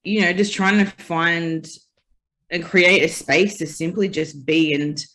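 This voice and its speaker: A woman's voice: lowish voice, fairly even intonation, measured pace, fairly bright tone, rough recording. An Australian woman interview guest, telling her own story in a reflective way with frequent "you know" and "like". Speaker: guest, festival founder. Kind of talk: casual interview chat.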